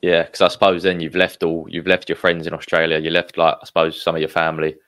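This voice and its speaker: A man hosting a casual interview, prompting his guest with friendly questions and quick affirmations. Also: deep voice, completely flat delivery, a bit bright. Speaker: podcast host.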